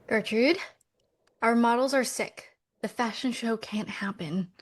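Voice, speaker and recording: An actress playing a practical, doubting millennial twin sister in a romcom script read. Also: female voice, fairly high pitch, unhurried. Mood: apologetic.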